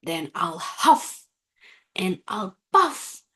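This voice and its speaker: A woman's voice: mid-range voice, big pitch swings, extremely slow, a bit bright, voice pushed down, full character voice. A woman voicing a menacing, snarling male big bad wolf in a children's storybook read-aloud. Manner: snarling, growling threats.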